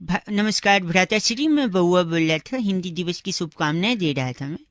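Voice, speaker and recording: A man's voice: high-pitched, expressive, moderate pace, fairly bright tone, no room sound, clean studio sound. A man voicing a cheeky, mock-polite young male prank caller in a comic radio bit, with teasing, theatrical delivery. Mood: mock-polite, pedantic.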